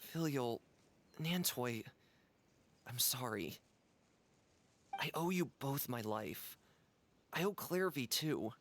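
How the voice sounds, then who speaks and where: A regretful young orphan from a game who is bound by the rules he serves. He speaks in an apologetic, hesitant way at a middle pitch. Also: animated, takes it slowly, pauses often, fairly bright tone, a little hiss or hum. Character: guilt-torn young orphan boy, loyal to rules.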